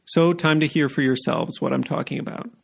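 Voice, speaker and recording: A man's voice: mid-range voice, sing-song, brisk, gravelly, husky. A man hosting a podcast, reading a scripted introduction and then asking questions in an earnest, carefully paced way. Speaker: podcast host.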